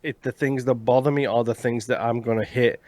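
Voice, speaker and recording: A man co-hosting a video game podcast, talking in a mostly monotone voice while venting his frustrations in casual chat. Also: mid-range voice, brisk, dry recording. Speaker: podcast co-host.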